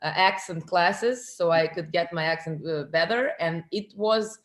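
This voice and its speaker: A woman from Serbia with a Serbian accent, an actress chatting in an interview, lively and quick to laugh. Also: lowish voice, reverberant. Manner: lively, laughs often, repeats words. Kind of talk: casual interview chat.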